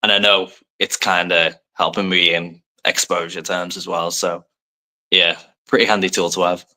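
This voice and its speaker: A young man from Liverpool with a low voice that still has a bright, forward edge. His accent is a soft English one with faint American touches. He talks in a loose, rambling way, heavy on fillers and modest asides. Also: level tone, normal speed, rough recording. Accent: soft English, light Americanisms. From Liverpool, England. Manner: rambling, many fillers, self-deprecating. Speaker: professional wrestler, guest. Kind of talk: casual podcast interview.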